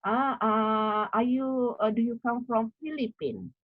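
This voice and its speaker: A woman's voice: on the high side, fairly even intonation, unhurried, no echo, phone-quality sound. A middle-aged woman from Malaysia with a Malaysian English accent, giving an academic talk on article writing, repeating herself with many fillers. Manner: repetitive, explanatory, many fillers. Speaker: university lecturer.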